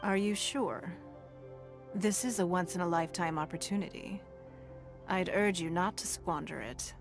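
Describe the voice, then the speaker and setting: Woman acting as a composed rich businesswoman boss, lowish voice, formal and authoritative, game music underneath. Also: moderate pace, close to an everyday voice. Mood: advising. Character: poised, wealthy businesswoman leader, composed, shrewd and formal.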